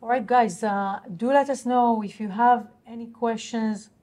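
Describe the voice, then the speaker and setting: A woman answering investor questions on an earnings call in a halting, businesslike, explanatory way. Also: fairly high pitch, fairly even intonation, warm tone.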